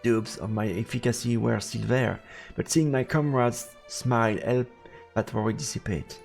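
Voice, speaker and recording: A man's voice: medium pitch, steady tone, normal speed, gravelly. A man reading a visual novel aloud as dramatic narration on a game stream. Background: game music.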